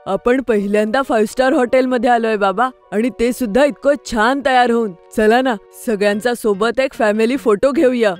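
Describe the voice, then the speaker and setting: An enthusiastic, high-voiced little boy played by a woman from a children's cartoon, excitable and always hungry for treats. Music runs behind the voice. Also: moderate pace, few pauses, close to an everyday voice. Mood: eager, happy. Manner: enthusiastic, wide-eyed. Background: background music.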